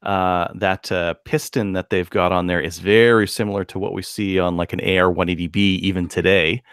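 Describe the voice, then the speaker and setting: A man chatting casually about technical details as a podcast panelist, informal, halting and enthusiastic. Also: low-pitched, steady tone, fairly bright tone, smooth, dry recording, studio quality.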